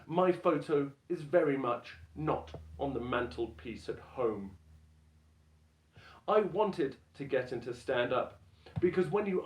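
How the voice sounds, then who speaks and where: Slow, deliberate deadpan man in a roomy space. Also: a little high. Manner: deadpan, self-deprecating, deliberate pauses. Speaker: stand-up comedian. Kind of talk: dramatic reading of a stand-up routine. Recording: roomy echo with faint background noise.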